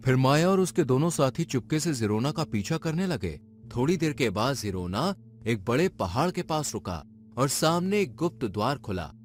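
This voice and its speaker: Male narrator for dramatic horror storytelling, very animated pitch, music underneath. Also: moderate pace. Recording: music behind the voice.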